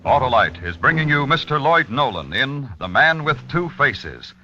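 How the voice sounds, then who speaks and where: A man's voice: mid-range voice, big pitch swings, normal speed, pushed and bright. A male radio announcer introducing a programme in 1950s broadcast style. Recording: dull 1950s radio recording with music.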